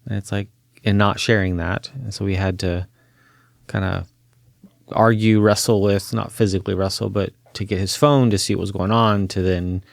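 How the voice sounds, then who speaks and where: A man in his forties who grew up in Chile, a counseling professor talking as a podcast guest, earnest and animated, laughing easily. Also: fairly low pitch, moderate pace, creaky, no room sound. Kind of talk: interview conversation. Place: podcast studio.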